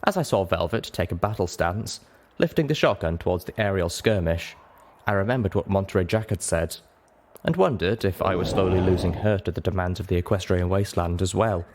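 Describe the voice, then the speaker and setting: A man reading a first-person adventure story aloud as a dramatic narrator, with expressive and deliberate phrasing. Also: low voice, slight background noise. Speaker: audiobook narrator.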